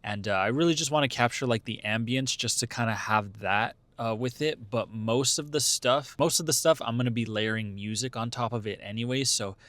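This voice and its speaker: A man's voice: mid-range voice, fairly quick, hardly any pauses, fairly bright tone, dry recording. A young man talking casually to camera as a vlogger, rambling with lots of fillers and restarts.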